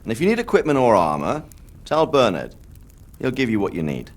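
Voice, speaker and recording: A man's voice: mid-range voice, wildly swooping pitch, fairly quick. A man acting an authoritative yet caring noble father in a medieval video game. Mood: concerned.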